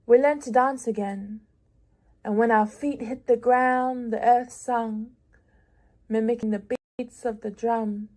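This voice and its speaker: A young woman reads her own lyrical writing aloud, taking her time with each phrase. Her voice sits somewhat high, and her delivery is unhurried, measured and reflective, with long pauses between lines. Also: fairly even intonation, darkish tone. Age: young adult. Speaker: prize-winning young writer.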